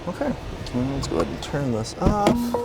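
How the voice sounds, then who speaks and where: A man, a car salesman, giving a casual walkaround tour of a vehicle at a dealership, talking in a relaxed, plain way with frequent fillers. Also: a little high, moderate pace, over music.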